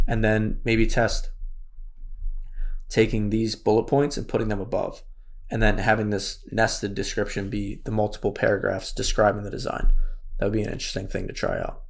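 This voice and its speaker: A man with a raspy voice, losing it, casually walking through an online store tutorial with many fillers. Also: fairly low pitch, steady tone, normal speed. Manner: casual, thinks aloud with fillers. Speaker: course instructor. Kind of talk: screen-share tutorial walkthrough.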